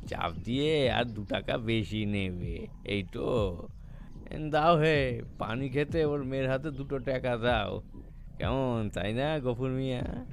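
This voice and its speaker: A man's voice: mid-range voice, slow, gravelly, faint music behind. A man voicing a haggling old cattle buyer in an audio story, irritable and scornful, cajoling and grumbling.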